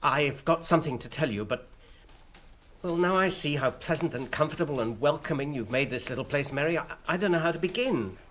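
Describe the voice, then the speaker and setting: An actor playing an earnest, anxious, well-bred gentleman hobbit in a radio drama, polite and hesitant in his phrasing. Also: a little high, lively intonation, brisk, slight background noise.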